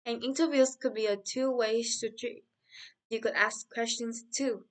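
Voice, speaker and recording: A woman's voice: fairly high pitch, steady tone, unhurried, distant mic, close to an everyday voice. A woman playing a confident young tech worker. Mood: eager. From Mexico. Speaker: guest. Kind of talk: scripted interview for English learners.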